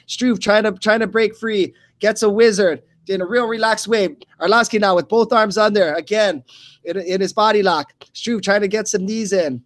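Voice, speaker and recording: High voiced guy doing live fight play by play, short choppy phrases, repeats himself. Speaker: play-by-play commentator. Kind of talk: live radio fight commentary.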